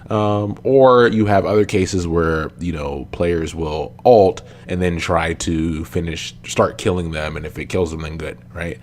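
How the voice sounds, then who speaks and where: A man co-hosting a casual esports podcast, talking with a slightly raspy, sniffly voice from a cold, loose and filler-heavy. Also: low voice, measured pace, few pauses, slight background noise. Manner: relaxed, lots of ums and you-knows. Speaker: podcast co-host.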